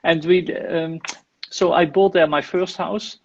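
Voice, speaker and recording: A man's voice: a little high, steady tone, slow, lo-fi sound, a little hiss or hum. A Dutch man with a Dutch accent, speaking English as a guest in a live online interview, hesitant with many fillers and restarts, over a video call. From Netherlands. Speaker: guest, IT manager and property investor.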